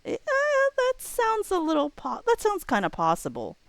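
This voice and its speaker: A woman's voice: very high-pitched, wildly swooping pitch, moderate pace, vocal fry, no echo. A woman livestream host chatting casually and thinking aloud, rambling and often interrupting herself. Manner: rambling, self-interrupting, informal.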